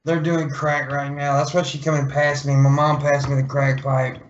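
A man's voice: fairly high pitch, little pitch movement, normal speed, a little hiss or hum. A man chatting casually as a podcast guest, joking with slang and swearing. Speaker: podcast guest, video maker. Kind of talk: casual chat. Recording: echoey room, dull lo-fi audio.

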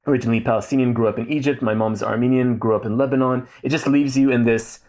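Guy in his 30s talking slowly and carefully, lots of um and you know. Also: medium pitch, fairly even intonation, darkish tone. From Saudi Arabia. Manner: thoughtful, hesitant, many fillers and restarts. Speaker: guest. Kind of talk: casual interview talk.

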